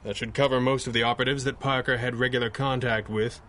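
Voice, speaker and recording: A man's voice: medium pitch, steady tone, fast talker, fairly bright tone, a little hiss or hum, natural voice. A man playing a stern, grave crime-fighting boss. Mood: stern, resolute. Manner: grave, clipped, formal. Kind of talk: 1930s radio adventure acting.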